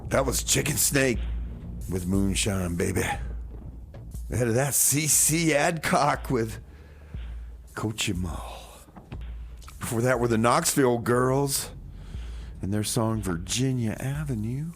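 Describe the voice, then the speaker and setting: A man's voice: mid-range voice, slow, long pauses, husky. A man hosting a community radio music show, talking casually in a rambling, repetitive way with comic banter. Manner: rambling, repeats phrases, says "man" casually. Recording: noticeable background noise, ambience.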